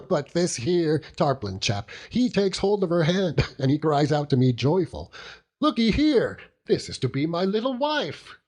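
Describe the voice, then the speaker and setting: A man's voice: a little high, big pitch swings, a little slow, darkish tone, voice pushed up. A hearty, chuckling old seaman. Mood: jubilant. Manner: chuckling, rambling, dialect-heavy storytelling with exclamations. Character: hearty old working-class seaman uncle, doting and overjoyed.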